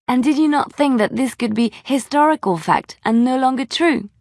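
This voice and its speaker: An actress voicing a gentle, devout young woman adventurer in a video game, soft-spoken and earnest, by turns wistful and playful. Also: high-pitched, unhurried, dry recording, studio quality. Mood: teasing. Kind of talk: video game voice acting, intimate dialogue.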